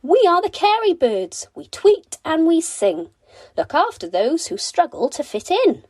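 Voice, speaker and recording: A woman voicing a flock of enchanting little birds, chanting their gentle message in a singsong storybook manner. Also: very high-pitched, big pitch swings, no room sound, voice pushed up, exaggerated voice. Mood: singing, cheerful. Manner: singsong chanting in drawn-out phrases. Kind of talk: children's storybook character voice.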